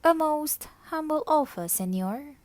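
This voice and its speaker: A young storybook cockroach lady, voiced by a woman, dainty and well-mannered. She speaks slowly with a slight hesitance, recorded dry and close. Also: high-pitched, lively intonation, no room sound, voice pushed up. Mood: cool, polite. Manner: hesitant, polite. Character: young female cockroach, dainty, polite, nervous but cool.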